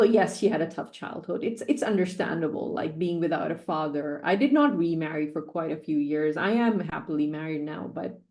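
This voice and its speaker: Earnest woman in her forties, lowish voice. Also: darkish tone, roomy sound. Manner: earnest, repeats key phrases for emphasis. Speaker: guest, sales coach. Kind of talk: personal story in a podcast interview.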